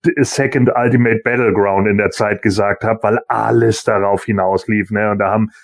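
Lower-voiced man in offhand podcast chat. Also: steady tone, normal speed, gravelly, no room sound, clean studio sound. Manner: colloquial, tag questions, offhand. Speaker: podcast panellist. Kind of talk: casual chat.

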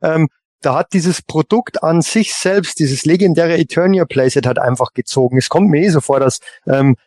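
Male podcast panelist, slightly high voice, very dry sound, casual and searching for words. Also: normal speed, darkish tone, no echo. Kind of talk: casual discussion of collector topics.

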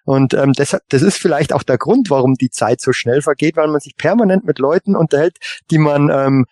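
A man with a slightly high voice speaks as a panellist on a casual fan podcast. He is halting and repeats words as he works out his thoughts. The sound is close and dry. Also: darkish tone, dry recording.

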